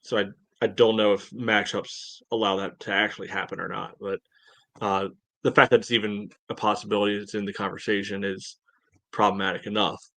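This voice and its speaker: A man co-hosting a sports podcast, reasoning aloud in a measured, hedging, conversational way. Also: fairly low pitch, little pitch movement, pushed and bright, dry recording. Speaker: podcast co-host. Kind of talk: casual sports discussion.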